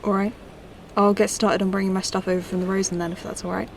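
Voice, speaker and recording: A woman acts a no-nonsense military officer in a space audio drama. The delivery is quick, flat and matter-of-fact. Faint ambience sits behind the words. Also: mid-range voice, creaky, a little hiss or hum, natural voice. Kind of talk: science-fiction audio drama acting.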